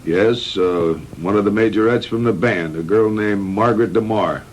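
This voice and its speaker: A man playing a calm, frank middle-aged hospital doctor in a measured, matter-of-fact 1960s B-movie style. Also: on the low side, natural voice. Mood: grave, recounting. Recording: noisy old 1960s film soundtrack.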